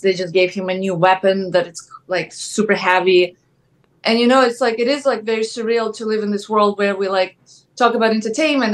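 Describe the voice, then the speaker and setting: A woman with a Ukrainian accent, chatting as a guest in an interview, soft-spoken and full of "like" fillers. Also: medium pitch. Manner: soft-spoken, many "like" fillers, thoughtful pauses. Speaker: actress guest. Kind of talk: casual interview chat.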